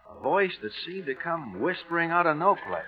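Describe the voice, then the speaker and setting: A man playing an ex-Navy radio operator telling a yarn in a radio drama, slangy and halting, with an American accent. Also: a little high, expressive, natural voice. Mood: intent storytelling. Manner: colloquial, halting. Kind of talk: old-time radio drama acting. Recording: muffled vintage radio broadcast, music behind.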